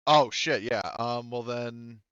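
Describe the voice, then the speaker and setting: A man's voice: medium pitch, steady tone, slow pace, highs cut off, crowd noise. A man talking casually as game master of a tabletop game, informal and swearing freely. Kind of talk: casual tabletop game narration and rules talk.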